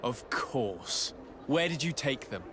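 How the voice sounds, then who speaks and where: A man's voice: medium pitch, sing-song, slow pace, background noise. A man voicing a composed, diplomatic emissary in a video game, polite, formal and probing.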